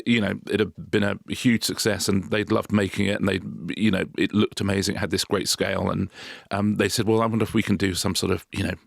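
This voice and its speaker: A man co-hosting a podcast, telling a story in casual chat with quick restarts. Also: sits low, gravelly, no room sound, clean studio sound. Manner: fast, storytelling, frequent restarts. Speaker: podcast co-host.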